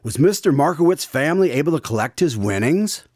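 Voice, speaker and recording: A man's voice: fairly high pitch, expressive, a little fast, no room sound, clean studio sound. A man hosting a radio drama as its announcer, speaking in a scripted style. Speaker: announcer and host. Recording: loud music behind the voice.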